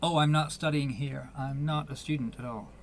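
A man playing an awkward, polite visitor in a radio play, speaking in a hesitant, halting, apologetic way. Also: mid-range voice, fairly even intonation, a little hiss or hum, natural voice. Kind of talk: naturalistic radio play acting.

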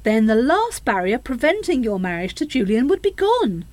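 Woman acting a bitter older mum in a radio play, highish voice that swings all over, very dry sound. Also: big pitch swings, moderate pace, a little mellow, no room sound. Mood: pleading. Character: bitter older Parisian mother, a widow, harsh and scornful.

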